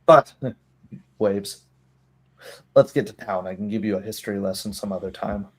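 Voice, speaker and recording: Guy running a tabletop rpg, lowish voice, narrating the scene. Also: moderate pace. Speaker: game master. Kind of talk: narrating a tabletop roleplaying game.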